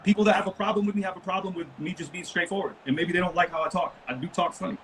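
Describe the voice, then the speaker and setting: Fast-talking blunt man from Lebanon. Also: high voice, steady tone, fairly bright tone, a little hiss or hum, low-quality recording. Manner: blunt, profane, rambling. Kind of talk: heated casual chat.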